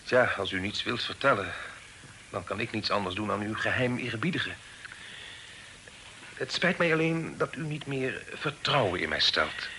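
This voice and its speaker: Earnest young gentleman, bright voice. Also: fairly low pitch, lively intonation, moderate pace, vocal fry, pauses often, exaggerated voice. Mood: earnest, principled. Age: young adult. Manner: earnest, formal, sometimes hesitant. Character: honest, dutiful, polite young gentleman in a period tale. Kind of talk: radio drama acting. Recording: noisy old radio drama recording.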